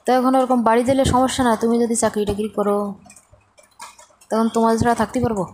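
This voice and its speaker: A woman's voice: a little high, steady tone, quick pace, a little mellow. A woman with a Bangladeshi accent in a casual phone chat, often questioning the other caller. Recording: faint noise, clicks, phone call.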